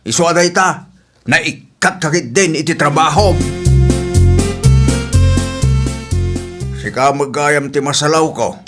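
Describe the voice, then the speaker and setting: A man's voice: on the high side, normal speed, loud music behind the voice, lightly acted. A man with an Ilocano Filipino accent playing a stern father scolding his wayward daughter in a radio drama. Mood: questioning, reproachful.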